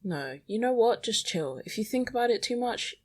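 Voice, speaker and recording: A woman's voice: fairly high pitch, big pitch swings, normal speed. A young woman podcasting alone in a rambling, confessional monologue, anxious and self-deprecating. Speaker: podcast host. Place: small bedroom at home. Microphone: small microphone.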